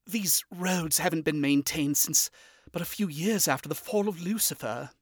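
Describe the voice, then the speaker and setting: A man's voice: on the high side, moderate pace, no room sound, studio quality, natural voice. A man voicing a fussy, refined male owl demon prince who speaks formally and properly, reading a fan story aloud. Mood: apologetic.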